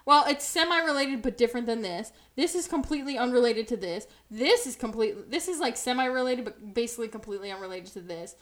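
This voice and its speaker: A woman's voice: fairly high pitch, fast, hardly any pauses. Rambling, self-mocking woman podcaster. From New Jersey. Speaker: podcast co-host. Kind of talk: casual podcast chat.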